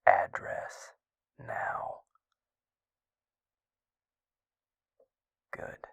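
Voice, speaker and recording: A man playing a gruff, caring vampire healer in an intimate roleplay audio, speaking in a hushed, breathy, gravelly murmur, blunt but tender. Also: very slow, dry recording, in a whisper, studio quality. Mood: exasperated, insistent. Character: gruff, caring adult male vampire healer, protective and exasperated.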